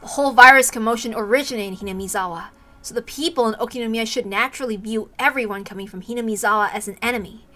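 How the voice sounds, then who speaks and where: A woman's voice: fairly high pitch, quick pace, bright, forceful tone. A woman streamer reading a story aloud on a livestream, slipping into casual asides.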